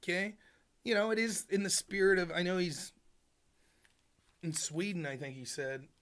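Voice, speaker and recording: A man from rural Pennsylvania hosting a call-in talk show, explaining in a relaxed, rambling way with fillers. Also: high voice, normal speed. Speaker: show host.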